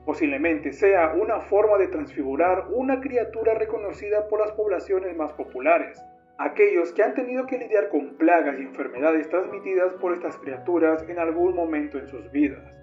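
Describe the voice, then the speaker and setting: A man's voice: high-pitched, animated, moderate pace. A man reading scripted, documentary-style horror narration as the narrator. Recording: dull lo-fi sound under music. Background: music.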